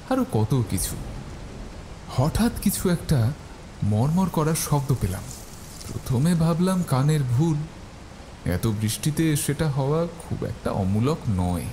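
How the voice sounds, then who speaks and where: A man's voice: mid-range voice, background noise. A man narrating a scripted first-person horror story. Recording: faint music behind the voice.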